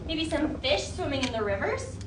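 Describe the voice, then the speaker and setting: A woman's voice: high voice, very animated, normal speed, noisy background. A woman leading a children's storytime, reading a picture book expressively and putting questions to young listeners.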